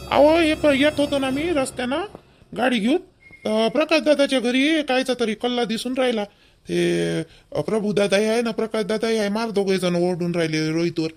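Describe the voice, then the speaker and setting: Man playing a high-pitched, opinionated village son. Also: fairly quick, faint music behind. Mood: curious. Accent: Vidarbha Marathi. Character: opinionated, protective young married village son. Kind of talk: homemade cartoon family dialogue.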